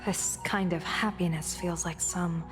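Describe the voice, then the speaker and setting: A calm, reserved older sister from a video game, voiced by an actress, speaking with quiet restraint. Her voice sits low and moves very slowly, with soft game music playing behind. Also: natural voice. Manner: measured and restrained. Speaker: game character. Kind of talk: game voice acting.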